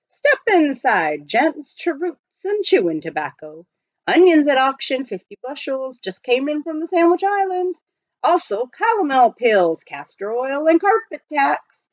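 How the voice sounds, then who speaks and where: A woman voicing brash male street hawkers and auctioneers in a storybook, calling out sales pitches. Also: dry recording, highs cut off. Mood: loud, urgent.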